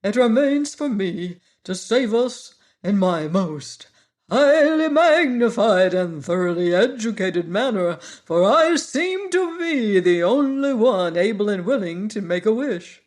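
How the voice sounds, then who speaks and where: A storyteller voicing a pompous, over-educated insect who lectures the others slowly and loudly. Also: mid-range voice, animated. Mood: grandly. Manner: pompous, lecturing, slow and loud.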